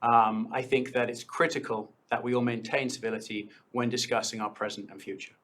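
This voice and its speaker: A man's voice: mid-range voice, little pitch movement, brisk, a bit bright. A man, raised in London, speaking earnestly as a town board candidate at a local debate, with frequent fillers. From born in India, grew up in London. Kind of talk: debate answers.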